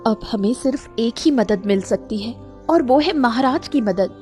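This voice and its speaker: A woman playing a serious, determined victim of a plot in a cartoon story, speaking in a measured way. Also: on the high side, expressive, darkish tone, soft background music, close to an everyday voice. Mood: grave, resolute.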